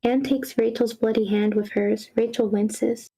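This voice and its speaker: A woman's voice: a little high, steady tone, a little slow, gravelly, crowd noise, low-quality recording. A woman reading screenplay action lines aloud as the narrator of a live table read.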